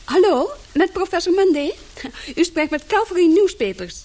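An actress playing a helpful newspaper secretary in a Dutch radio drama, polite and businesslike with a singsong delivery. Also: female voice, very high-pitched, expressive, moderate pace, darkish tone. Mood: obliging.

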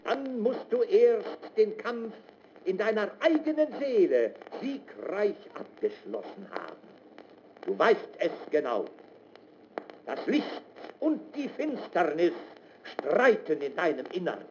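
Muffled, high-voiced, solemn preaching patriarch. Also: male voice, very animated, slow, heavily acted. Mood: solemn exhortation. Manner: solemn, declamatory. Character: stern, preaching paternal authority demanding obedience. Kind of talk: German radio drama acting, oratorical. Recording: muffled, noisy recording.

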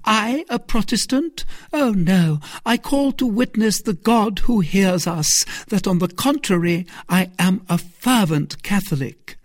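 A man doing a husky, sly noblewoman. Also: high-pitched, expressive, measured pace, hardly any pauses, no echo, studio quality, voice pushed up. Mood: sly plaintive. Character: scheming noblewoman, sighing and sly. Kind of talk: audiobook character reading.

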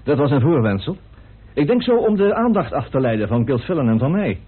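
Grim accusing guy in old radio drama, very husky, thin boxy phone-like sound. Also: a little high, very animated, a little fast. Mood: grave, insistent. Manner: emphatic, accusing, rhetorical. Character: grim, indignant man bitterly revealing a hidden truth. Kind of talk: radio play acting. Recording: muffled, narrow old radio recording.

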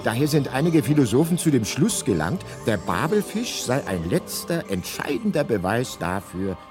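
An actor playing a talking guidebook in a radio drama, narrating with deadpan, mock-scholarly precision and dry irony. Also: male voice, mid-range voice, normal speed, music in the background, natural voice. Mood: dry, ironic.

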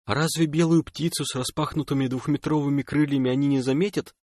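A man voicing a curious young woman who is quick to question, in an audiobook story. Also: sits high, no echo, clean studio sound, voice pushed up, close to an everyday voice. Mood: puzzled.

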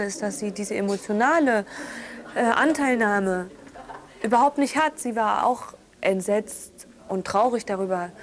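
A woman's voice: medium pitch, lightly acted. Candid, hurt daughter of thirty played by a woman. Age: 30s. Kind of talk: improvised, natural-sounding drama dialogue.

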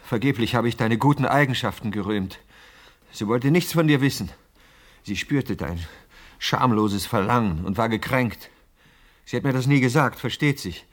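An actor playing a jealous, wounded widower in a radio drama, accusing and insistent in a dramatic, indignant manner. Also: male voice, mid-range voice, moderate pace, lightly acted. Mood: bitter, indignant.

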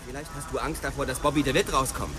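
A man's voice: mid-range voice, steady tone, quick pace, close to an everyday voice. An actor playing a sarcastic police detective needling his partner in a German radio drama. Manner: needling, sarcastic, explodes into shouting. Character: sardonic male cop, the narrator's partner.

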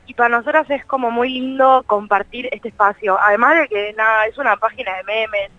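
A woman's voice: on the high side, steady tone, brisk, no room sound. Female phone guest, Buenos Aires accent, informal and giggly, dry slightly dull line. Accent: Buenos Aires Argentine. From Buenos Aires, Argentina. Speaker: interview guest, journalist. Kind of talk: casual phone interview. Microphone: telephone.

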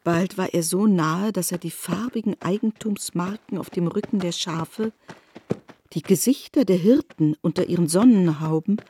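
A woman narrating a literary radio drama in a measured storytelling manner. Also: lowish voice, mellow, slight background noise.

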